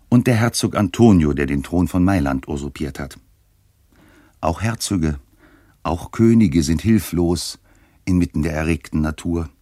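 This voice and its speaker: A man narrating a scripted radio play as a storyteller, measured and articulate. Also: sits low, darkish tone, no echo, clean studio sound. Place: studio, dry sound.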